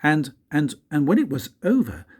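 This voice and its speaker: An older man reads a children's story like a grandfather, in an expressive, deliberate way with dramatic pauses and a husky voice. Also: on the high side. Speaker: grandfather reading stories for his grandchildren.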